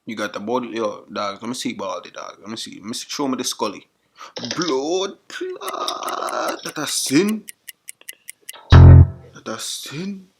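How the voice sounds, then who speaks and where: A man's voice: medium pitch, sing-song, unhurried, gravelly, over loud music. A man with a Jamaican patois accent on a webcam video chat, flirting and joking in heavy slang. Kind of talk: random video chat banter. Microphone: webcam video call.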